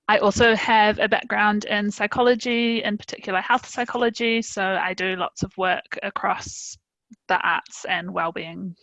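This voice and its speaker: A woman's voice: mid-range voice, fairly bright tone, dry recording, dull audio. A woman giving a plain, matter-of-fact introduction of herself on a video call. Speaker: dance and circus teacher.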